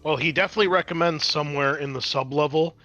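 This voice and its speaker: A man's voice: on the high side, fast talker, pushed and bright, dull audio. A man acting as game master, explaining the scenario and rules of a tabletop roleplaying game in a conversational, improvised way.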